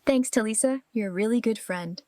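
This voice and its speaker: A woman's voice: a little high, brisk, mellow, no room sound, clean studio sound, close to an everyday voice. A woman playing a conflicted, defensive and vulnerable married mother in an audio drama. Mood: grateful.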